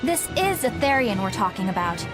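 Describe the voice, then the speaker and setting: A proper, spirited young heroine in a video game. Her voice is high and very expressive, with an instructive way of talking. Music is clear behind her. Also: moderate pace. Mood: sceptical. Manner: forthright, instructive. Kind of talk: dubbed video game cutscene acting.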